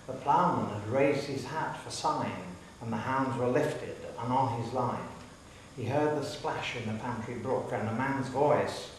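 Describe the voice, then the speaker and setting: A man's voice: medium pitch, a little slow. A man giving a dramatic recital of narrative poetry in a hall. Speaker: poetry reader. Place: public hall. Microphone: distant microphone. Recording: very echoey hall, distant mic, faint hiss.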